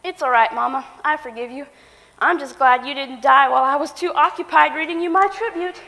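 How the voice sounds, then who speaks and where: An earnest, dramatic grown daughter, played by a woman in a stage comedy. Her voice is high and bright, with emphatic theatrical delivery, ringing in a hall with long reverb. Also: fairly quick, vocal fry, heavily acted. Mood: apologetic.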